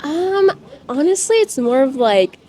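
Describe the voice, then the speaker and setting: A woman's voice: sits high, very animated, unhurried, dark tone. Hesitant woman from Canada. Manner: hesitant, laughing between phrases. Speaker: interviewee, resident. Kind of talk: casual street interview. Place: outdoors on a street.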